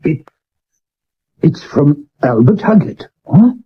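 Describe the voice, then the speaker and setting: An actor playing a vain, hard-drinking Victorian stage actor with a British accent and grandiloquent delivery, in a 1970s radio drama. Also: male voice, a little high, sing-song, slow pace, breathy edge, full character voice. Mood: reading aloud. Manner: pompous, grandiloquent, given to sudden shouts. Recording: muffled old medium wave radio recording.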